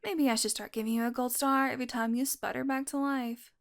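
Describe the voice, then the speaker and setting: A woman's voice: high voice, fairly even intonation, fairly quick. A woman acting a playful, sardonic, curious young vampire in an intimate audio roleplay. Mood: playful, wry. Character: teasing, curious young female vampire doing experiments.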